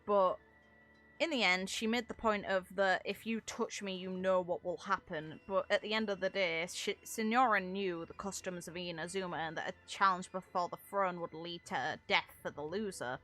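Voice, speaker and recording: Bright-voiced woman giving casual commentary. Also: on the low side, normal speed, faint music behind. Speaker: content creator commentating on a game.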